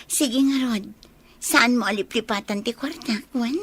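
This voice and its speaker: A woman's voice: fairly high pitch, normal speed, fairly bright tone, slightly rough. An actress playing a money-minded, pushy woman with a Filipino accent in a radio comedy-drama. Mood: pleased, grasping.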